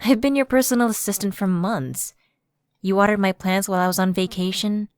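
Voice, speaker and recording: A woman's voice: medium pitch, a little fast, darkish tone, no room sound, studio quality, lightly acted. A woman playing a personal assistant who is secretly a fallen angel, evasive and coaxing, in a conversational ASMR roleplay. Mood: nervously breezy.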